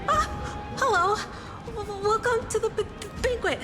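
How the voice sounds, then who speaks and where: A shy, earnest young female bard from an anime game. Her voice is extremely high and girlish, with an excitable temper. Music plays clearly behind her. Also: moderate pace. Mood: nervous. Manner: shy stammer.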